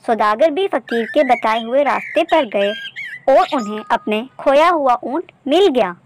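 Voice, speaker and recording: A woman's voice: sits high, lively intonation, a little slow, slight background noise. A woman narrating a scripted moral story for children as a storyteller.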